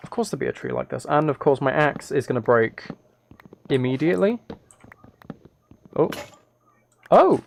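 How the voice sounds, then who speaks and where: A man live streamer talks in a medium-pitched voice as he plays. He thinks aloud in loose, hesitant, rambling sentences, chatting casually with whoever is watching. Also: lively intonation, moderate pace, creaky, long pauses, slight background noise. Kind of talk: casual live stream chat while gaming.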